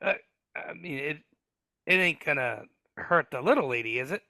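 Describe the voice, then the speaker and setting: A man voicing a nervous, cowardly dwarf servant who stammers and speaks in rough grammar, in a live reading over a video call. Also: on the high side, lively intonation, moderate pace, pushed and bright, dry recording, clean studio sound, exaggerated voice. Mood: worried.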